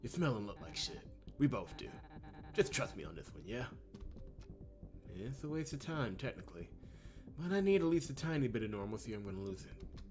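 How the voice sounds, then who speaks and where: A man's voice: mid-range voice, very animated, fairly quick, pauses often, a little mellow, close to an everyday voice. A man voicing a weary, anxious young male fighter in a visual novel, self-deprecating and hesitant. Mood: weary, grumbling. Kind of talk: visual novel character reading.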